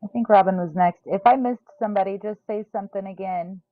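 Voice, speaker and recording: A woman's voice: medium pitch, fast talker, no echo. A woman in her forties hosting a live tarot stream, chatting casually with viewers and laughing often. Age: 40s. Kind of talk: casual livestream chat. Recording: tinny phone-like sound.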